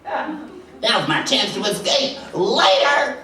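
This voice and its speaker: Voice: medium pitch, big pitch swings, slow pace, pushed and bright, exaggerated voice. A performer playing a cowardly, mouthy male henchman in a live comedic radio play. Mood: mouthy defiance. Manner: defiant wisecracking and panicky pleading. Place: rehearsal hall live performance. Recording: distant, very echoey hall recording, noisy.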